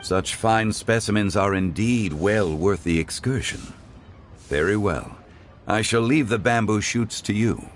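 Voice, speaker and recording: A man voicing a courteous, refined gentleman in a video game who speaks in a formal, measured and eloquent way. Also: lowish voice, a little hiss or hum, lightly acted. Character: refined, courteous gentleman fond of the finer things. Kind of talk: video game cutscene dialogue.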